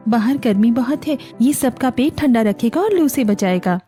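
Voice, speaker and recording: A woman playing a gentle, devoted young daughter-in-law. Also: fairly high pitch, lively intonation, normal speed, faint music behind, close to an everyday voice. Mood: affectionate, caring. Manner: reassuring, respectful. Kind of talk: Hindi cartoon family story acting.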